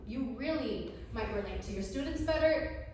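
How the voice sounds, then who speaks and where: A woman's voice: high voice, lively intonation, normal speed, a little mellow. A woman playing a brash, defiant young student who needles her teacher in a sarcastic, slangy stage-play manner. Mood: indignant, excited. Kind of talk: one-act stage play acting. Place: live stage performance, recorded from afar. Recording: echoey room, distant mic, faint noise.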